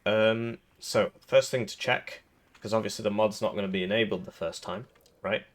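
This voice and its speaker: A man's voice: on the low side, steady tone, moderate pace. A man with a cold, sounding a little throaty, giving casual rambling commentary over a strategy game with lots of fillers and restarts. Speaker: gaming video creator.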